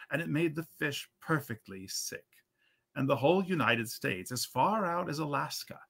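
A man in his fifties reading an old holiday tale aloud in an expressive, measured storyteller's manner. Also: mid-range voice, creaky. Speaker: reader at a literary reading series. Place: indoors at a computer, live stream.

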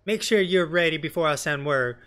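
A man voicing a blunt, sardonic woman rebel leader in a fantasy game, with colloquial, rough-edged wording. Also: on the high side, a little fast, fairly bright tone, close to an everyday voice. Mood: blunt, wry. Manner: blunt, colloquial, mildly cursing. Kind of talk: game dialogue read in character.

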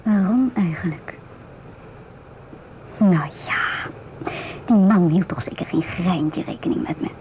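An actress playing a musing, independent stewardess in her twenties in a radio drama, speaking in a hushed, often near-whispered voice. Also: lowish voice, takes it slowly, pauses often. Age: 20s. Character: musing, independent 27-year-old divorced ship stewardess. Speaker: narrator and stewardess. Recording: muffled old radio recording, clear hiss.

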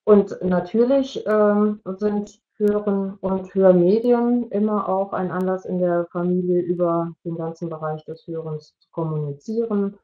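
A middle-aged woman giving an explanatory online presentation in a halting way, searching for words. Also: medium pitch, steady tone. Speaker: media educator presenting at an online parents' evening. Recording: dull, lo-fi video-call audio.

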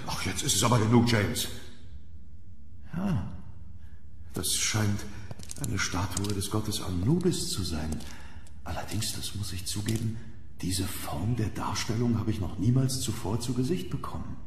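A man playing an eager, commanding archaeologist in a horror radio play, dramatic and excitable. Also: lowish voice, measured pace, long pauses. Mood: absorbed, assured. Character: eager, commanding male archaeologist exploring a tomb. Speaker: audio drama actor. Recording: roomy, long echo, background noise.

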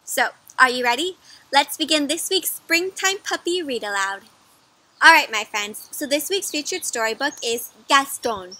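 A young woman with a high, bright voice hosts a read-aloud for kids. She speaks expressively, pitching everything to small children and inviting them in with questions. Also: a little hiss or hum. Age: young adult. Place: outdoors in a garden or patio.

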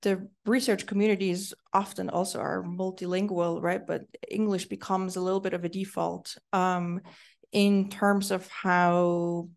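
A woman speaks in a gravelly, fry-laced voice. She answers panel questions thoughtfully, pausing with ums and restarting her sentences. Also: medium pitch. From Switzerland. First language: Swiss German. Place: lecture room.

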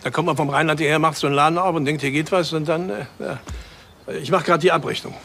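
An actor playing a disillusioned inn landlord who complains with blunt sarcasm in an audio drama. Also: male voice, a little high, steady tone, fast talker, creaky, a bit bright, slight background noise. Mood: grumbling.

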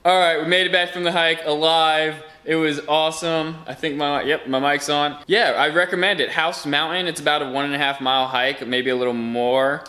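A young man vlogging casually to a handheld camera as he hikes a wooded mountain trail, relaxed and upbeat. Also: a little high, normal speed, hardly any pauses, smooth, no room sound. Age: young adult. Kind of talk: casual vlog narration while hiking. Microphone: handheld camera at arm's length.

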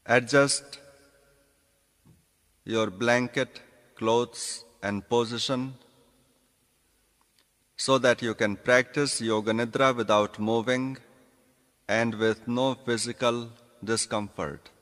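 Male meditation guide, mid-pitched, deliberate short phrases at a very slow pace, spacious reverberant sound. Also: fairly even intonation, a bit bright. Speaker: yoga nidra instructor. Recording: long reverberant hall echo.

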